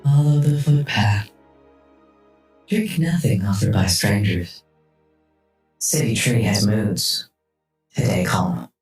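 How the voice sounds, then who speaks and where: A man voicing a soothing, soft-spoken post-human plant woman who guides a traveler in terse, clipped phrases in an audio story. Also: lowish voice, takes it slowly, long pauses, faint music behind. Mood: calm, cautioning. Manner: clipped, sparse speech. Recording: roomy echo, distant from mic.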